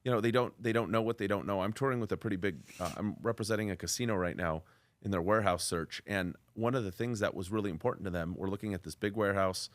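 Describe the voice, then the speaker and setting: A male podcast host with a fairly low voice talks loudly and at length, trading stories in a relaxed interview. The sound is dry and close, with no room echo. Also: quick pace. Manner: loud, talkative. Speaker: podcast host, commercial real estate broker. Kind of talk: casual interview conversation.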